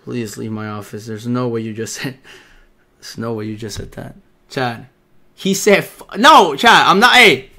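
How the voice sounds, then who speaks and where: A young man hosting a livestream, running team tryouts in casual, animated chat and yelling in disbelief. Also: medium pitch, gravelly. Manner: animated, quick to yell in disbelief.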